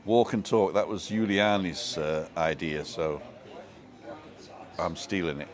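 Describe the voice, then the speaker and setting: A man from Manchester with a soft local English accent, hosting a casual live stream and chatting with viewers as he reads their comments. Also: low-pitched, slow pace. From Manchester, England.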